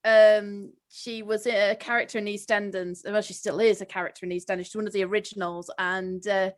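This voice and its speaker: A woman hosting a convention Q&A over a video call, explaining things in relaxed, short, chatty phrases. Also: medium pitch, fairly quick. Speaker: convention host. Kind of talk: casual chat in a video call Q&A.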